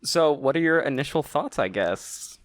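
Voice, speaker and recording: A young adult man co-hosting a casual podcast, teasing his partner with deadpan jokes. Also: on the high side, moderate pace, no room sound. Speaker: podcast co-host. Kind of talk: casual chat.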